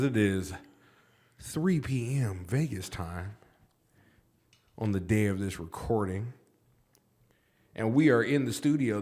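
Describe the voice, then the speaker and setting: A man in his forties hosting a podcast, chatting casually in a studio with a laid-back, slangy manner. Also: lowish voice. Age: 40s. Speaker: podcast host.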